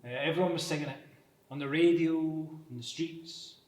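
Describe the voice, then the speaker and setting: A man acting in a naturalistic drama as an exuberant character who reminisces haltingly. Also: a little high, a little mellow, close to an everyday voice. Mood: wistful, amused. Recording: echoey room.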